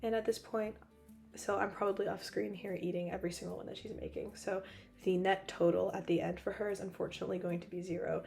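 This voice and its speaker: A young woman with a hoarse voice, still recovering from losing it, narrating a cooking video in a chatty, joking way with frequent laughs. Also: medium pitch, flat delivery, fast, a little mellow, soft background music. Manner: chatty, joking, laughs often, rambling asides. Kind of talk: casual voice-over narration.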